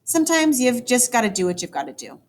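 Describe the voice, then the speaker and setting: A woman teacher reading a children's story aloud, with expressive, dramatic emphasis for young listeners. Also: fairly high pitch, fast talker. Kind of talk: animated children's book read-aloud.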